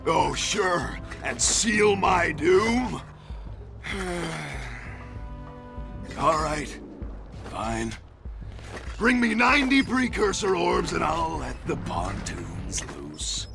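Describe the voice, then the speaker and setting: A man's voice: on the high side, big pitch swings, drawn-out words, gravelly, long pauses, heavily acted. A man voicing a cowardly, self-pitying male village warrior in a video game, talking in a pompous, theatrical, whining way. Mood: melodramatic. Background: game music.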